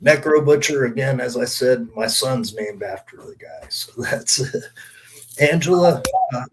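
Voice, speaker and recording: Male podcast panelist, ex-wrestler type. Medium pitch, casual storyteller, freely profane. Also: measured pace, a little hiss or hum, low-quality recording.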